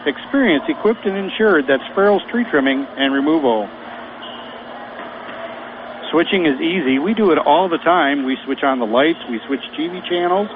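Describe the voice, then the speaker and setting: A man commentating on local radio sounds easygoing and conversational. His voice sits a bit high. It comes through a narrow, phone-like line with plain noise behind it. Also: expressive, moderate pace. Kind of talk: ad reading and pregame banter.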